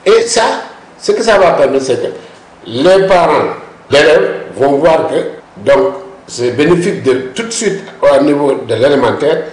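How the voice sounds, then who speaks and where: Man, slightly high voice, insistent explainer, echoey room. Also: lively intonation, normal speed, a little mellow. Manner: insistent and explanatory, repeats "mais, mais" to cut in. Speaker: language policy expert guest. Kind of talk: discussion interview. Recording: roomy echo.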